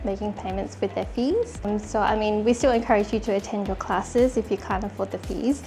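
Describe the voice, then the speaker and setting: Woman from the accounts office, conversational, reassuring, mid pitch. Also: brisk, mellow, faint music behind. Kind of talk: promotional interview.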